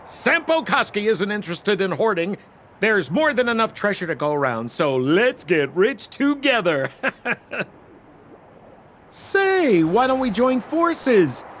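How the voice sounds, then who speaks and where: A flamboyant game rogue with a high, swooping voice. He is glib and wheedling, a sly treasure hunter working his charm, with some noise behind him. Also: a bit bright, full character voice. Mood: chummy. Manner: theatrical, ingratiating, glib. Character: flamboyant, sly, wheedling young male treasure-hunting con man. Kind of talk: video game voice acting.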